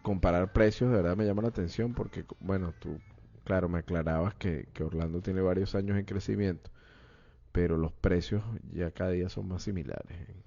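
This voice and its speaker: A man's voice: sits low, steady tone, normal speed, creaky, dark tone. A man hosting an interview, asking questions and giving brief acknowledgments. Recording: dull lo-fi sound with faint music.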